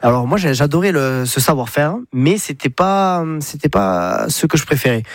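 Guy in his 30s, southern french accent, halting chatty answers, dry close sound. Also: fairly high pitch, expressive, no echo. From Pyrénées-Orientales, France. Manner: hesitant, many fillers and restarts. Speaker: guest, espadrille brand founder. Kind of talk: casual interview.

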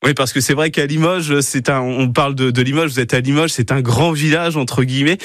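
A man's voice: medium pitch, steady tone, a little fast, fairly bright tone, no echo, clean studio sound. A man hosting a radio interview, feeling his way through wordy, often rephrased questions. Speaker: radio host interviewing a guest.

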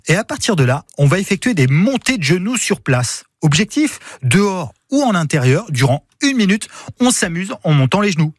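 A man's voice: fairly high pitch, husky, no room sound, studio quality. A man, a sports coach on the radio, guiding listeners through breathing exercises in an upbeat, encouraging way.

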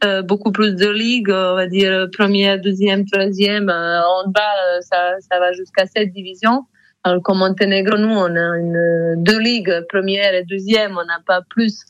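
A woman's voice: medium pitch, steady tone, measured pace, no room sound, lo-fi sound. A woman in her thirties with a Montenegrin accent, a handball player answering radio interview questions with many fillers and restarts. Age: 30s.

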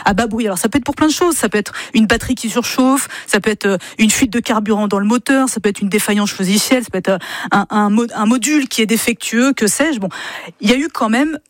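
A woman's voice: fairly high pitch, quick pace, no room sound. A woman giving an explanatory, conversational radio segment, emphatic and speaking directly to listeners. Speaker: radio consumer columnist. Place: radio studio.

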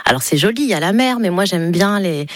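A woman's voice: fairly low pitch, expressive, quick pace, no echo. Chatty woman, close dry sound. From Nice, southern France. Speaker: interview guest, stage performer. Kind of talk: casual chat.

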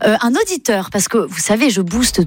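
A woman's voice: medium pitch, very animated, slightly rough. A woman hosting a live radio call-in show from a studio, effusive and affectionate with guests and listeners. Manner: effusive, affectionate, quick to laugh. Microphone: studio microphone.

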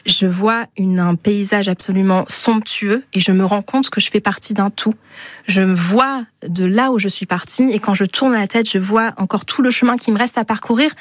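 A woman's voice: medium pitch, dry recording, studio quality. A woman singer-songwriter talking spontaneously about her own music, reflective and searching for her words. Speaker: singer-songwriter being featured.

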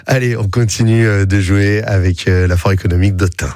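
A man's voice: lowish voice, fairly even intonation, fairly quick. A man presenting a live radio game casually, giving recaps as host. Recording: faint music behind the voice.

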